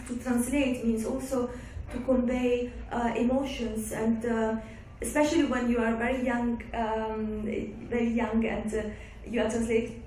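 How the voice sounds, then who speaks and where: A woman from Somalia, a guest author, answering audience questions in a halting way with many restarts and fillers. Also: on the high side, warm tone. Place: lecture room. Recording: echoey room, far-off mic, faint background noise.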